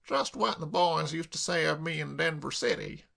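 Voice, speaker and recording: Male narrator as a pompous con man: bluff, slow, lifted pitch. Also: expressive, gravelly, sharp tone, heavily acted. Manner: bluff, grandiloquent, indignant.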